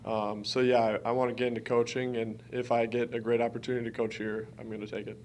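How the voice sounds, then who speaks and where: A man's voice: mid-range voice, level tone, quick pace, darkish tone, slight background noise. A young man from Wisconsin, a college basketball player, answering press conference questions in an earnest, informal way. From Wisconsin, United States. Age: early twenties.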